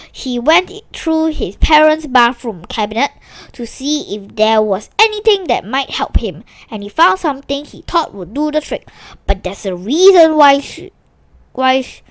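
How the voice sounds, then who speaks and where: Animated woman reading a children's story aloud. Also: on the high side, no echo. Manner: animated, with small stumbles and restarts while reading. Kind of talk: expressive read-aloud narration of a children's story.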